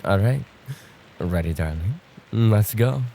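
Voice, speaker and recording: A man playing a possessive, smugly menacing stalker in an ASMR roleplay, taunting in a mock-tender, unhurried way with a gravelly, husky voice. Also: lowish voice, expressive, mellow, slight background noise. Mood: smug, hurried.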